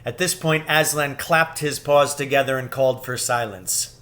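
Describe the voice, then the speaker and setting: A man's voice: medium pitch, normal speed, fairly bright tone. A man narrating a children's storybook as a scripted read-aloud. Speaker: storybook reader.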